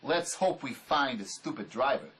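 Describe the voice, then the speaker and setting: A man acting the part of a gruff, skeptical, sarcastic escaped convict and armed robber in a drama. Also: medium pitch, very animated, moderate pace, a bit bright, slight background noise. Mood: wary, grumbling. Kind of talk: dramatic script performance.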